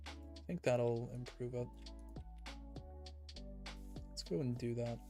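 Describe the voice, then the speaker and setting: Casting: young male streamer, calm and soothing, mid-pitched, soft-spoken, faint music underneath. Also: takes it slowly. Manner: calm, soft-spoken, self-described monotone. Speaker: Minecraft streamer. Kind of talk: casual livestream chat while building in a game.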